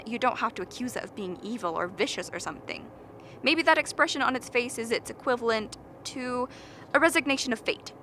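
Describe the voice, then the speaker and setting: A woman's voice: fairly high pitch, bright, forceful tone, a little hiss or hum. A woman playing an earnest, impatient biologist in a radio drama, lecturing and argumentative. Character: earnest, impatient expedition biologist defending a frozen creature. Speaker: actor in a radio play.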